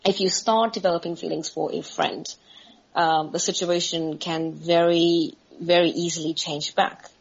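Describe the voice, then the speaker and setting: A South African woman in her forties, speaking as a podcast guest, thoughtful and measured, with hesitant fillers. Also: lowish voice, steady tone, gravelly, breathy edge, highs cut off. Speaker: guest, friendship expert. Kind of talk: podcast interview.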